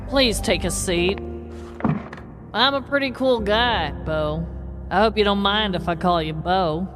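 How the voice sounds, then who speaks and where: A pompous male executive, put on by a woman for a comedic audio story. The voice is mid-range for a woman, falsely warm and self-satisfied, stretching words out over clear background music. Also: mid-range voice, close to an everyday voice. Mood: false warmth. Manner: pompous, drawn-out, insincerely friendly.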